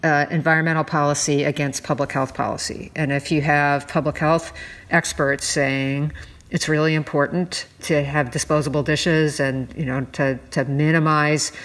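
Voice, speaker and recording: A woman's voice: sits low, little pitch movement, moderate pace. An American woman co-hosting a podcast, explaining environmental policy in conversation. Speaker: podcast co-host.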